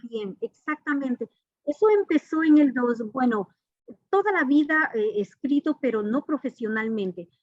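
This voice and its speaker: A woman's voice: high-pitched, lively intonation, normal speed. A woman from Ecuador, giving earnest, formal, explanatory answers as an interview guest. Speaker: interview guest, artist and professor. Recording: dull, echoey lo-fi call audio.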